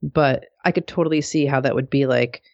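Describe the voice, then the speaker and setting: A woman co-hosting a podcast, with a very low voice and a slightly dull, muffled sound. She speaks agreeably and reflectively, with plenty of fillers. The audio is dry and close. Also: a little fast, gravelly, no room sound. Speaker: podcast co-host. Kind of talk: casual conversational chat.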